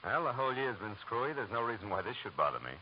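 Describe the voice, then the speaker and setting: A hard-boiled, world-weary male detective from a vintage radio noir. His voice is low and quick, with a dry, deadpan delivery and wry wisecracks. It sounds like a dull old broadcast recording. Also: heavy background noise. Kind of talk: 1940s radio noir acting and narration.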